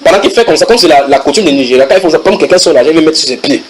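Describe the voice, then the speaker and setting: A man preaching a sermon to a congregation, reading English passages aloud and translating them with lively asides to his listeners. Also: fairly high pitch, rapid-fire, slight background noise, rough recording. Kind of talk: sermon teaching.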